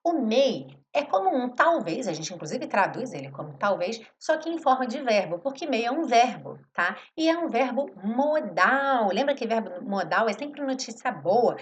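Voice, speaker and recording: A woman teaching an English lesson in a live stream, explaining song lyrics and slowing down to read English phrases with emphasis. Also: fairly high pitch, sing-song. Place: home studio, live stream.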